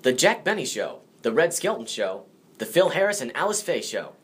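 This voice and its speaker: A man's voice: medium pitch, big pitch swings, normal speed. An American man presenting a scripted biography as its narrator. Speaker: presenter, grandson of the subject.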